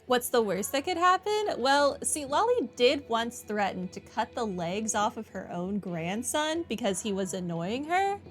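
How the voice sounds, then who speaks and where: A woman gives casual, gushing playthrough commentary and reads game dialogue aloud. Her voice is a bit high and very lively in pitch, with light game music behind it. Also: a little slow, few pauses. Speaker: gaming streamer.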